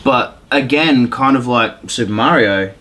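A man's voice: mid-range voice, measured pace, sharp tone. A man co-hosting a podcast, giving his opinions in casual conversation. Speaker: podcast co-host. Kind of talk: casual chat, film opinions. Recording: noisy room with background ambience.

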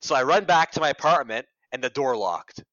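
A man's voice: mid-range voice, animated, a little fast, fairly bright tone, no echo. A young man with a Canadian accent from Ottawa, a radio reporter chatting casually in an interview and telling stories in a self-deprecating way on dull lo-fi audio. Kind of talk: casual conversational storytelling.

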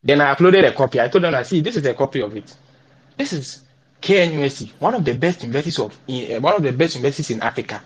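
A man's voice: mid-range voice, very fast, husky. A young man from Ghana with a Ghanaian English accent, giving motivational advice and acting out the emails he sent. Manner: animated, repeats key points, acts out emails he wrote. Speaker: scholarship guest speaker.

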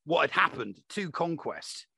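A man's voice: a little high, animated, a little slow, creaky, bright, forceful tone, slightly rough, no room sound, clean studio sound. A man in a casual, opinionated gaming discussion, talking emphatically and repeating himself to drive points home.

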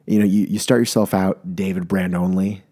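A young man with a mellow, fairly low voice tells a comic story in a mock-serious, rambling way. He often stops mid-sentence, restarts and corrects himself. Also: flat delivery, normal speed. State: maybe congested. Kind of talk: comedic monologue.

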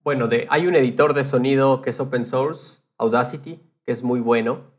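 A man's voice: mid-range voice, fairly even intonation, normal speed. A man podcaster chatting casually and explaining things conversationally, checking in with his listener as he goes.

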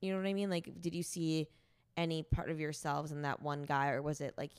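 Woman hosting a casual podcast, chatty, low voice, super dry close sound, says like a lot. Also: level tone, fairly quick, clean tone, no room sound. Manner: chatty, informal, liberal use of "like". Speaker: podcast host. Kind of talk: casual in-person interview.

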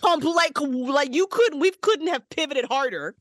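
A woman's voice: high-pitched, animated, unhurried, dry recording, clean studio sound. A woman voice actress and writer, a podcast guest chatting casually, laughing a lot and swearing freely.

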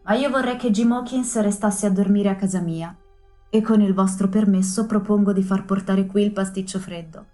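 Woman doing a calm doctor, measured, reasoning, dry, audiobook. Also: medium pitch, faint music behind, close to an everyday voice. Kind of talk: audiobook character voice.

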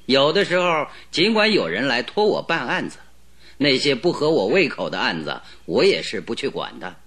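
A shrewd, self-assured detective from an old radio play. He presses and mocks with cool precision, his bright voice rising and falling in lively swings. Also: mid-range voice, moderate pace, breathy edge. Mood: coolly taunting. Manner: probing, mocking, coolly pressing. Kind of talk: radio drama acting.

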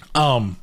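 A man co-hosting a casual podcast, talking in a heated, rambling way with many restarts, his voice slightly hoarse. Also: a bit bright. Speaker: podcast co-host.

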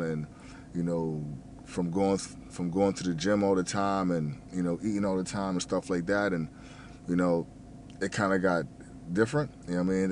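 A man in his thirties from New Jersey, talking casually about his work and plans, with a deep voice that tends to mumble, stumbling over words, full of fillers and curse words. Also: a little hiss or hum. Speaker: restaurant manager and fitness vlogger. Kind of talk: casual rambling monologue.

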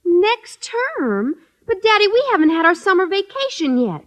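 High, sugary teenage girl. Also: big pitch swings, normal speed, a bit bright, exaggerated voice. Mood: sweet, coaxing. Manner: sugary, girlish wheedling. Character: sweet, coaxing teenage schoolgirl, principal's dutiful daughter. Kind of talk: 1940s-50s radio comedy acting. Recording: old radio comedy recording.

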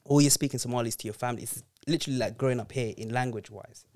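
A laid-back man talks in a casual chat, his speech loose and slangy and often broken by laughter. His voice is smooth and clear at a middling pitch. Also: racing, darkish tone. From Zambia.

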